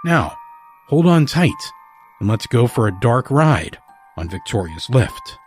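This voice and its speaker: A man hosting a podcast, reading a scripted introduction in an earnest, measured way. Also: mid-range voice, big pitch swings, faint music behind. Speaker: podcast host.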